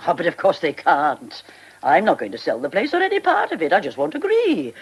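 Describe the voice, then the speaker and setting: A woman's voice: on the low side, very animated, fairly quick, vocal fry, slightly rough, full character voice. An actress playing a grand, haughty elderly former stage star and lady of the manor in a theatrical radio drama style. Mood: indignant.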